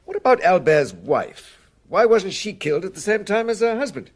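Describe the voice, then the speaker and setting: An exacting magistrate in a British radio crime drama. His voice sits slightly high, and he speaks formally, with a probing, questioning manner. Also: sing-song, moderate pace. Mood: sceptical, questioning. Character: formal, probing male French examining magistrate.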